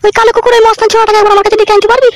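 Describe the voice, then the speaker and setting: A woman voicing a fierce, bossy village housewife and mother in a cartoon comedy, haranguing and forceful. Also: very high voice, little pitch movement, very fast. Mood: scheming, bossy. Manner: haranguing, foul-mouthed, forceful.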